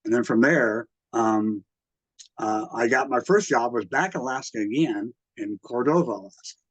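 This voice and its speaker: An American man in his sixties reminiscing casually in an interview, with a halting delivery that often backtracks. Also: mid-range voice, fairly bright tone, no room sound, rough recording. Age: 60s. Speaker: radio collector and museum exhibitor, interviewee.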